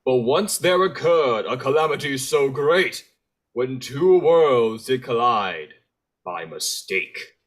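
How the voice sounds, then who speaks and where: A man playing Santa Claus as a theatrical storybook narrator, speaking in rhyme with a husky voice. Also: mid-range voice, expressive, slow pace. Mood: storybook, grave.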